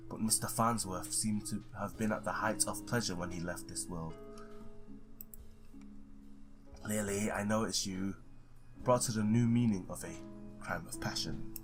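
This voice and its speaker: A man's voice: lowish voice, moderate pace, music in the background, lightly acted. A man reading the part of a wry, hard-boiled ex-cop detective in a noir visual novel. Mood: wry, brooding.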